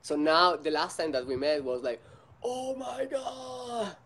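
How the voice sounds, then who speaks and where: A man who speaks English as a second language, talking casually as an interview guest in a halting, repetitive way with many fillers. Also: high-pitched, a bit bright. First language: not English.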